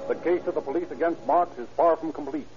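A man's voice: on the high side, quick pace. A man narrating an old-time radio crime drama in a formal, dramatic announcer's delivery. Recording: dull vintage radio recording. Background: music.

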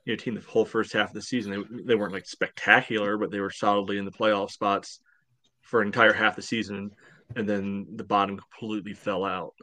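A man's voice: on the low side, flat delivery, a little fast, pushed and bright. A man in casual sports podcast talk as a panelist, with a wry, sardonic way of speaking.

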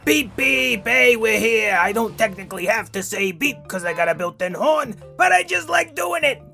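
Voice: mid-range voice, lively intonation, moderate pace, gravelly, pushed and bright, faint music behind. An actor voicing a brash, rude talking taxi in an audio drama, brusque and wisecracking. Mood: brash, impatient.

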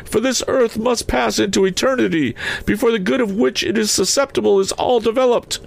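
Anguished, high-wailing ghost. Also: male voice, creaky. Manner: mournful, declamatory, drawn-out solemn delivery. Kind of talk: dramatic storybook reading.

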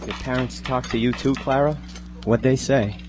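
A man's voice: medium pitch, steady tone, moderate pace, close to an everyday voice. A man playing an anxious, questioning sixteen-year-old small-town boy in a live radio drama. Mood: worried, questioning. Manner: worried, questioning. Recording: hum and loud background noise.

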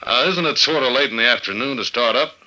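An actor playing a tough, wry American investigator in an old-time radio drama, with a brisk, wisecracking delivery. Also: male voice, medium pitch, pushed and bright, low-quality recording. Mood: wry, probing. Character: tough, wry, determined male investigator narrating his case. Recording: vintage radio drama recording.

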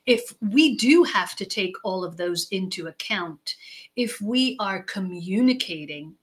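Woman speaking in measured listed phrases. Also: lowish voice, rough recording. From United States. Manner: deliberate, stacks phrases in lists, trails off softly at ends. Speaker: guest expert on leadership and communication. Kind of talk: measured interview answers.

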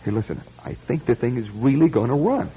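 An actor playing a skeptical, expressive man in a radio drama. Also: mid-range voice, fairly quick, vocal fry, slightly rough, exaggerated voice. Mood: eager, casual. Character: skeptical man, scoffing, turning frightened as doom nears. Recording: dull lo-fi radio recording.

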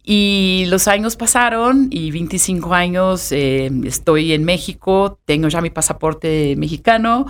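A woman's voice: fairly low pitch, clean tone. Brazilian woman speaking Spanish with an accent. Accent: Brazilian Portuguese. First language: Portuguese. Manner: halting, many restarts and fillers. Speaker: guest. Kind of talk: conversational podcast interview.

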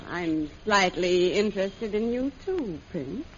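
An actress playing a brash, scheming adventuress with slangy, insolent talk in a 1930s-style American radio serial. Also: female voice, mid-range voice, expressive, slow pace. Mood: brassy, scornful. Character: brash, scheming, hard-boiled adventuress, a ruthless woman. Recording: muffled, noisy old radio recording.

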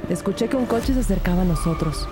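A woman's voice: on the low side, expressive, quick pace, natural voice. An actress playing an earnest, determined female police investigator who narrates a crime audio drama in the first person. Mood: tense narration. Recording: studio drama under loud music bed.

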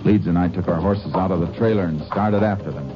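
An actor playing a stern, shrewd Texas Ranger in an American radio drama, speaking in terse, authoritative phrases. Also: male voice, low voice, fairly quick, close to an everyday voice. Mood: tense narration. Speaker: lead actor and narrator. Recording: muffled early-1950s radio recording with music.

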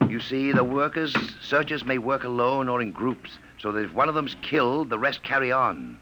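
An actor playing a smooth-talking, coaxing pursuer from the future who poses as a filmmaker, in 1950s radio drama style. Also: male voice, mid-range voice, moderate pace, lightly acted. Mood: sly. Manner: glib, coaxing, commanding. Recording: noisy old 1950s radio recording.

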